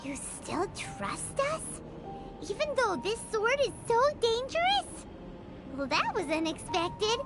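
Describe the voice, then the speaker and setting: A woman voicing a small, excitable female fairy companion in a video game, with a high childlike voice and a teasing, exclamatory manner. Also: takes it slowly, over loud music, heavily acted. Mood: puzzled. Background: game soundtrack.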